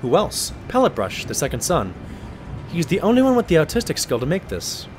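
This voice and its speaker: An actor playing a modest, loyal pony doctor who narrates and talks in an audio drama in an earnest, expressive way. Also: male voice, fairly high pitch, brisk, loud music behind the voice. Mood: excited.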